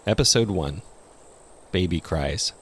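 A man's voice: deep voice, lively intonation, measured pace, a little hiss or hum. A man hosting and narrating a podcast, reading from a script.

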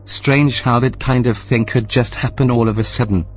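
A man playing a controlling station AI in a sci-fi audio drama, with a medium-pitched male voice. He is coldly polite and sardonic, choosing each phrase deliberately to threaten. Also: steady tone, faint music behind, natural voice. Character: coldly menacing, controlling male artificial intelligence. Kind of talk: science-fiction audio drama acting.

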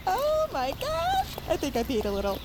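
A young woman with an American accent playing an excitable, geeky, sarcastic teenage superfan in an audio drama. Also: very high-pitched, normal speed, heavy background noise, full character voice. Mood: excited, teasing.

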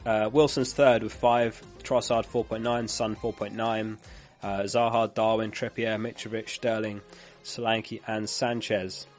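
Hoarse man chatting on a live stream. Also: fairly low pitch, level tone, unhurried, few pauses, soft background music. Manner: chatty, reads out viewer comments and laughs. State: losing his voice. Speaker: fantasy football streamer.

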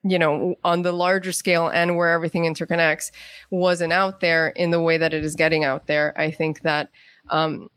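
A woman's voice: lowish voice, fairly bright tone, no room sound, clean studio sound. A middle-aged woman, an author being interviewed, telling stories in a conversational way. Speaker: guest author and playwright.

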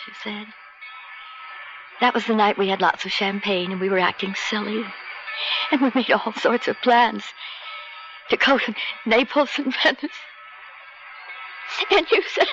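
Actress playing a clinging, heartbroken mistress. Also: female voice, medium pitch, natural voice. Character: clinging, heartbroken mistress pleading with departing lover. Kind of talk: 1970s radio drama acting. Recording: dull radio recording over music.

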